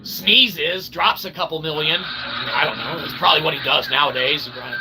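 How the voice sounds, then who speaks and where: A man's voice: a little high, a little fast, background noise. A man giving a casual, rambling monologue in a folksy, irreverent way, full of slang and frequent check-ins with the listener. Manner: folksy, repeatedly checking in with "okay" and "all right"; irreverent, slangy, mock-grand wording. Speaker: independent researcher and vlogger.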